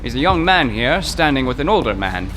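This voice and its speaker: An actor playing a fussy, wordy gentleman scholar who speaks in flowery, old-fashioned phrases and gets excited easily, in old-time radio drama style. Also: medium pitch, a little fast. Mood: excitable, wordy. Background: music.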